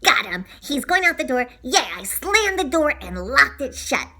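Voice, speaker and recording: A woman voicing an excitable young boy telling a children's storybook tale, with dramatic exclamations and drawn-out words. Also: high-pitched, exaggerated voice. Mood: scheming. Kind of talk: children's storybook read-aloud.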